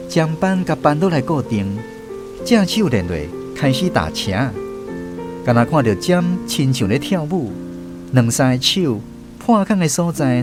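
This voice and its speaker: A man with a Taiwanese accent reading scripted storybook narration for a radio drama, expressive and reminiscing. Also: on the high side. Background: music.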